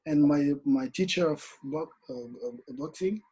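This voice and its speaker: A man from Congo, raised in Paris, talking as an interview guest in halting English, tired after a workday. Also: on the high side, slightly rough. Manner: halting non-native English, soft-spoken. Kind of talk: casual interview conversation.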